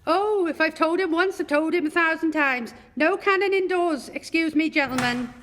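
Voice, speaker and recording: A woman playing a fussy, long-suffering woman in a radio play, quick and exasperated yet matter-of-fact. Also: very high voice, loud music behind the voice. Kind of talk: festive radio play acting.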